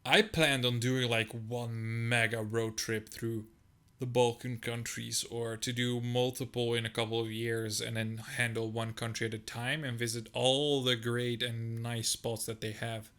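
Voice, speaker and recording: A man's voice: medium pitch, flat delivery, measured pace, pushed and bright, smooth. A young man from Bosnia reacting casually to a video, laid-back and swearing freely.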